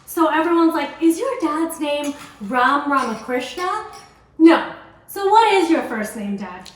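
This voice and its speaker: A young woman talks brightly to camera and draws out her guest with questions. Her voice sits high and lively. The sound carries an echoey kitchen room and comes from a distance. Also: a little slow. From grew up in Indonesia, family from South India. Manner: upbeat, chatty, prompting questions. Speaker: vlogger host. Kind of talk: casual home cooking vlog chat. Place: home kitchen.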